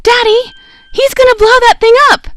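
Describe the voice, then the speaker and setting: A woman voicing an excitable young girl, a daughter character in a video game fandub. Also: very high voice, normal speed, no room sound. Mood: alarmed.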